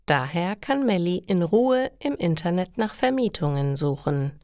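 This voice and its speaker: Lady reading easy stories for learners, kinda slow n careful, dry close sound. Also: on the low side, sing-song, a little mellow, dry recording. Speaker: narrator of German learner stories. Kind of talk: slow scripted storytelling for language learners.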